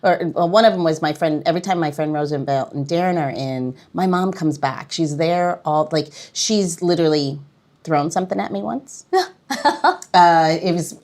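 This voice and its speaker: A woman's voice: low voice, normal speed, darkish tone. A woman hosting a casual podcast chat, telling a story and laughing often.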